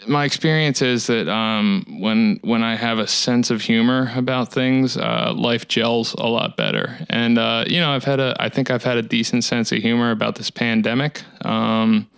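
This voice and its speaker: A man in his thirties talking in a casual, rambling solo monologue, with a dry, monotone delivery. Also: mid-range voice, creaky, clear, resonant tone, no room sound. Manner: rambling, reflective, many fillers. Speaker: musician hosting his own talk series. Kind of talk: casual solo talk to camera.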